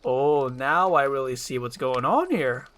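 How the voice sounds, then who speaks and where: A woman voicing an excitable, chatty male donkey sidekick who is blunt and easily spooked, from an animated film script. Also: very deep voice, measured pace. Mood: knowing, teasing. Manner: blunt, talkative, exclamatory.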